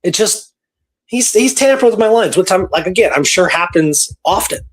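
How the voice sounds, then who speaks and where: A man's voice: high-pitched, expressive, moderate pace, slightly rough. A man hosting a radio show, telling a story in a casual, conversational way and repeating phrases for emphasis.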